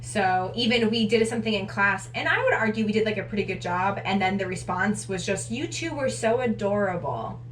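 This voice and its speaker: A woman's voice: mid-range voice, expressive, a little fast, few pauses. A woman in her twenties chatting casually to camera as a vlog co-host. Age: 20s. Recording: roomy echo, distant mic, noisy.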